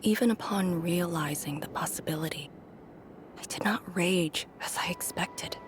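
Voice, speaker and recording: An actress voicing a melancholy, quietly resolute young woman in a game, breathy, subdued and reflective. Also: fairly low pitch, normal speed, background noise, natural voice. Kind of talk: scripted game voice acting. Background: prominent music.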